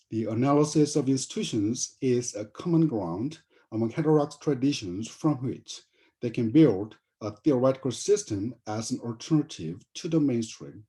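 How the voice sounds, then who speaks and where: A man sums up his paper and hands over to the next presenter. His voice is mellow and dark at a middle pitch, and he speaks in a halting academic way with fillers. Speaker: session chair and presenter.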